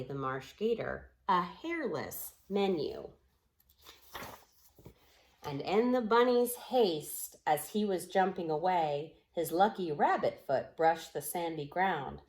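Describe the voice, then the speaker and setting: A woman reading poetic children's stories aloud in a measured, expressive way with deliberate phrasing. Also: on the low side. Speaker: storybook reader. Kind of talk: storybook read-aloud in rhyming, poetic prose.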